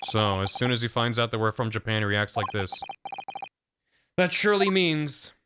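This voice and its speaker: A man's voice: mid-range voice, big pitch swings, moderate pace, background noise. Joking young man streaming a game. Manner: joking, rambling. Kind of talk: game let's-play commentary. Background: game music.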